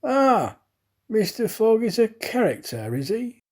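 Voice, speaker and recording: Man acting a probing, animated storybook detective. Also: sits high, voice pushed up, exaggerated voice. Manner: probing, deliberate, confident. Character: suspicious, probing, self-assured male detective.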